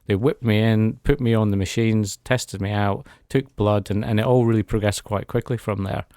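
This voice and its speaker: A man's voice: fairly low pitch, steady tone, normal speed, darkish tone, clear, resonant tone, no echo, studio quality. A man, telling his personal story as a guest in a radio interview. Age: 40s. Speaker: interview guest giving a testimony. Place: radio studio.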